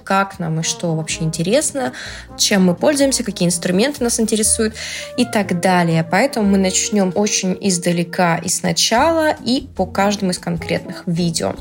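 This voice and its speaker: A young woman from Russia, a translator, explaining things in a casual, playful vlog with slangy asides and animated emphasis on key words. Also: on the low side. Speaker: translator and Italian language blogger. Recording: music playing loudly under the voice. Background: background music.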